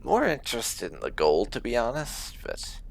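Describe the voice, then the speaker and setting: A man giving casual roleplay commentary on a game he plays, musing and deliberate as he goes. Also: lowish voice, huge pitch swings, gravelly, slight background noise, rough recording. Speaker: gaming host, player.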